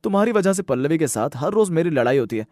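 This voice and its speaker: A man's voice: fairly high pitch, animated, fast talker, a little mellow, no echo, clean studio sound, voice pushed up, natural voice. A man voicing an earnest, dutiful grown son and exasperated husband, speaking emphatically in a Hindi moral story cartoon. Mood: exasperated, angry.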